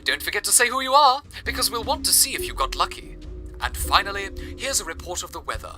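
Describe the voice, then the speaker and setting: A man's voice: high voice, animated, quick pace. Male actor as earnest, theatrical old-time radio host, formal and loyal, very varied intonation, music underneath. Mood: upbeat, announcing. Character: cheerful, loyal male radio broadcaster of a dystopian city. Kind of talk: scripted old-time radio broadcast narration. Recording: music playing behind the voice.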